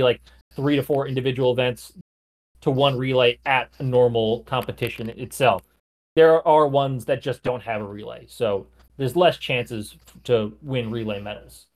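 A man co-hosting a podcast, casually recounting an athlete's career with frequent "like" and "you know". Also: medium pitch. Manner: chatty, lots of "like" and "you know", occasional restarts. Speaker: podcast co-host.

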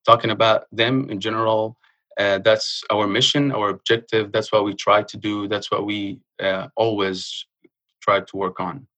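A young man from Jordan, speaking earnestly in a casual interview and pausing often with fillers. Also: on the low side, flat delivery, pushed and bright, muffled recording. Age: young adult. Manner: earnest, halting with frequent uh fillers. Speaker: guest.

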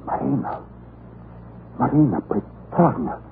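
An actor playing a cruel Russian official who pleads and stammers in panic, in old radio horror style. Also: male voice, medium pitch, sing-song, slow. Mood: stunned dread. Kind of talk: 1930s-40s radio horror acting. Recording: noisy tinny old radio recording.

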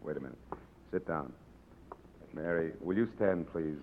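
An actor playing a stern, controlled commandant, questioning with clipped authority in 1940s radio style. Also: male voice, a bass voice, moderate pace, narrow, tinny audio, slight background noise. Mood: grave, controlled. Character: stern, controlled male commandant running an underground tribunal. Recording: thin, band-limited old radio broadcast.

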